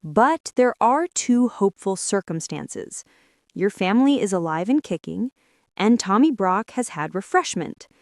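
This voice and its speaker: A woman's voice: medium pitch, sing-song, a little slow, no room sound, studio quality. A woman voicing a thoughtful young male rabbit in a storybook, speaking in a reflective, slightly formal, reasoning way. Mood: reflective, composed. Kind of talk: storybook character voice.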